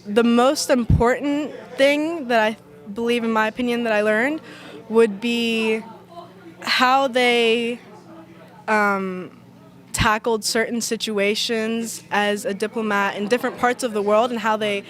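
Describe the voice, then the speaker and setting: A young woman student answering interview questions politely and thoughtfully, pausing now and then. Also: a little high, steady tone, faint music behind. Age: young adult. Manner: polite, thoughtful, slightly halting. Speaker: university student.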